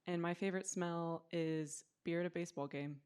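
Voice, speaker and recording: A woman's voice: on the low side, steady tone, moderate pace, a little mellow, clear, resonant tone. A young woman student from Kansas giving a casual introduction of herself. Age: young adult.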